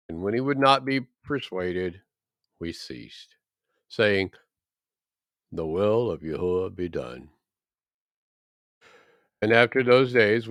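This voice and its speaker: A man reading scripture aloud in a solemn, measured and emphatic way. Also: mid-range voice, fairly bright tone, no room sound, studio quality. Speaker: scripture reader.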